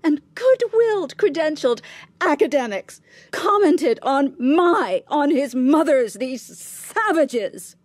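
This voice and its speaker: A ruthless middle-aged mother in a radio drama. Her voice sits somewhat high and is recorded very dry. She drives at her listener with clipped, scornful questions, scheming to protect her son at any cost. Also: sing-song, slow, darkish tone, dry recording, full character voice. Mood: furious, bitter.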